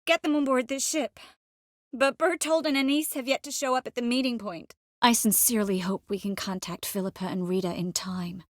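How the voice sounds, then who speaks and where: An actress voicing a young sorceress in a video game, gentle, earnest and determined, with a measured, expressive delivery. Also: female voice, fairly high pitch, no echo, studio quality, close to an everyday voice. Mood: focused, determined. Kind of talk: video game character voice lines.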